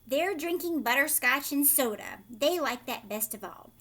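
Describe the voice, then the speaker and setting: An exuberant candy-factory boss from a children's storybook, acted out by a woman reader. The voice is high and swoops about, brisk and teasing, stressing words with insistent, triumphant glee. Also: animated, bright, forceful tone, heavily acted. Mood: brisk, gleeful.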